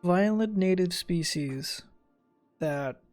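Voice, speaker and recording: A man doing casual, offhand game-streaming commentary with a sarcastic streak and a gravelly voice. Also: high voice, takes it slowly, a little mellow. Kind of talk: casual gaming commentary and chat. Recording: game music behind the voice.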